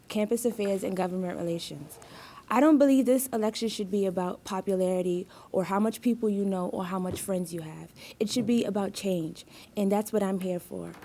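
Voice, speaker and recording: A woman's voice: medium pitch, dark tone. A young woman student giving a firm, direct campaign speech as a candidate. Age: young adult.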